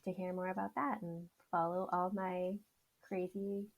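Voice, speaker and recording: Woman, 30s, podcast guest; chatty, quick to laugh, gravelly fry. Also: level tone, rough recording. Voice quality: says her voice is high. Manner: chatty, laughs often between answers. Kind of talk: casual interview chat.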